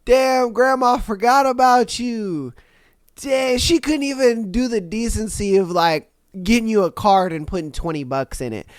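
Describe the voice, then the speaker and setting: Man, podcast host, sick and slightly nasal, fairly high voice, smooth clear tone, slangy profane reactions. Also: unhurried, dry recording, clean studio sound. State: sick, recovering from illness. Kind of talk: casual commentary on read-out posts.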